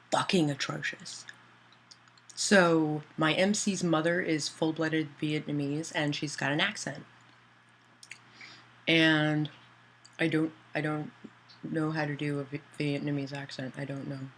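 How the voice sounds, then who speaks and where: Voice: mid-range voice, fairly even intonation, a little slow, fairly bright tone. An author livestreaming to patrons, chatting loosely and answering chat messages aloud, with many fillers and restarts.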